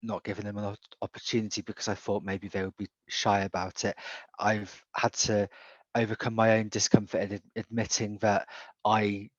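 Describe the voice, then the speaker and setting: A man's voice: fairly low pitch, little pitch movement, normal speed, dry recording, lo-fi sound. A man with a British accent speaking candidly and self-reflectively in an online group discussion. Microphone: video call.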